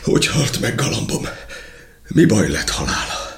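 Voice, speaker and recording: An actor playing an impassioned, lovesick young folk hero in a verse radio play, with dramatic, pleading delivery. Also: male voice, sits low, big pitch swings, echoey room. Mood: anguished.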